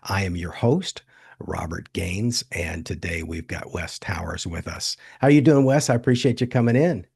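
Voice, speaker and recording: A man's voice: low-pitched, animated, moderate pace, vocal fry, a little mellow, husky. An American man hosting a podcast, talking in a conversational, rambling way and laughing as he tells stories. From United States. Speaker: podcast host. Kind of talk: casual interview.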